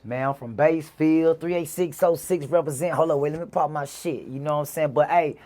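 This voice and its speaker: A man's voice: a little high, brisk, mellow. A man with a Mississippi Southern accent telling stories casually as an interview guest, in a slangy, repetitive way peppered with "you know". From Mississippi, USA. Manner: slangy, repeats himself, frequent "you know". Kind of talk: casual conversational storytelling.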